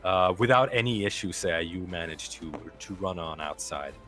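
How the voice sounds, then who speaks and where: A man narrating a tabletop game as game master, relaxed and full of fillers. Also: low-pitched, normal speed, music in the background.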